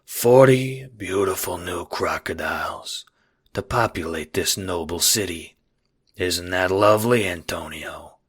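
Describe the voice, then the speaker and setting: A slow-talking crocodile mob don, fake Godfather accent. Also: low voice, husky. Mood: oily, courtly. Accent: fake Godfather mobster accent. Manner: slow, deliberate, falsely genteel. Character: fake-Godfather crocodile crime boss, oily and menacing.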